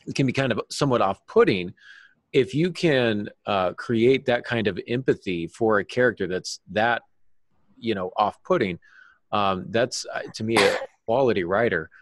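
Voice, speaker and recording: Male podcast panelist, deep voice, analytical, halting delivery, very dry recording. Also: no room sound. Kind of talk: analytical discussion.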